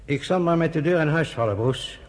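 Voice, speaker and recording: An actor in a radio play, playing a pragmatic, avuncular senior British official with a husky, urbane, confiding manner. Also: male voice, a little high, expressive, fairly quick, close to an everyday voice. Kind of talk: Dutch radio play acting.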